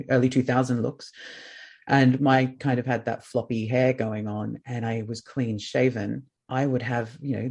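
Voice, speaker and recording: A man's voice: medium pitch, gravelly, a little mellow, husky. A man hosting a podcast in casual chat. He has a light voice that is not very masculine and talks openly and reflectively, rambling with fillers. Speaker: podcast host.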